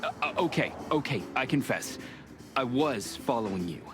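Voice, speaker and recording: An actor playing a nervous, nosy seagull in a noir video game, chattering and stammering in an ingratiating way. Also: male voice, mid-range voice, animated, normal speed, music in the background, lightly acted. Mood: flustered.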